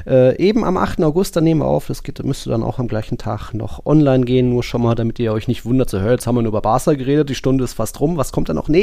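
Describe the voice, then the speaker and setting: Gravelly man in casual podcast chat. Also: mid-range voice, mellow, dry recording, clean studio sound. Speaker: football podcast co-host.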